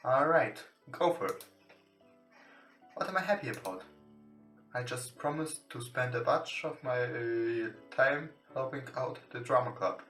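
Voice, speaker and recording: A man with non-native English gives casual let's play commentary at a slow, halting pace, reading game text as he goes. His mid-pitched voice sounds roomy and set back from the mic. Gentle guitar music plays behind him. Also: recorded from a distance. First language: not English. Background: soft guitar game music.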